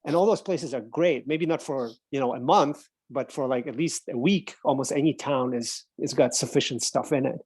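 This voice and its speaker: A man's voice: medium pitch, normal speed, few pauses, a little mellow, slightly rough, no echo. A man chatting casually as a guest, in an easygoing way with many fillers. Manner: easygoing, lots of "like". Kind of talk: casual podcast chat.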